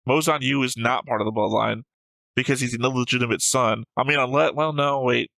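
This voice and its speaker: A man with a gravelly edge to his voice talks through his reasoning in a casual, analytical chat. He argues his points and explains them in a fairly level delivery. The sound is close and dry. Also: medium pitch, fairly even intonation, fairly quick, pushed and bright, no room sound. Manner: argumentative, explanatory. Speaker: host of an anime reaction show.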